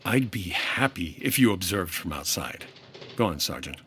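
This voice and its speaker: An actor playing a dry, no-nonsense insurance investigator in an old-time radio detective drama, clipped, wry and deadpan. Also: male voice, low-pitched, moderate pace, slight background noise.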